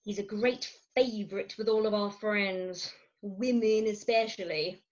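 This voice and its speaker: A woman's voice: medium pitch, fairly even intonation, a little slow, muffled recording, close to an everyday voice. A woman playing a fretful, theatrical middle-aged Victorian wife in a stage farce, confiding her woes emphatically over a video call. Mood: woeful. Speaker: actor in an amateur staged reading.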